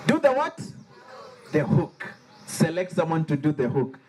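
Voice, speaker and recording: Man, Liberian accent, slightly high voice, playful call-and-response workshop leader. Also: a little slow. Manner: animated, playful, has the audience repeat words. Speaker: workshop facilitator. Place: workshop room with a live audience.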